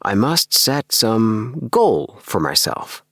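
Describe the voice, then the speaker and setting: A man voicing an excitable, earnest young dreamer in an audiobook, with an emphatic, self-satisfied manner. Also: fairly low pitch, takes it slowly, dry recording, clean studio sound, exaggerated voice. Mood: eager, triumphant. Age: young adult. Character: excitable young dreamer, eager, earnest, obsessed with house plans. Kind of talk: acted audiobook dialogue.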